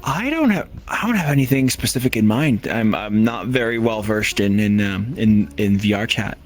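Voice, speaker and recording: A man's voice: on the low side, lively intonation, normal speed, soft background music. Hesitant man in his twenties. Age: 20s. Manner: hesitant, restarts often, many ums. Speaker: guest streamer. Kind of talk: casual chat.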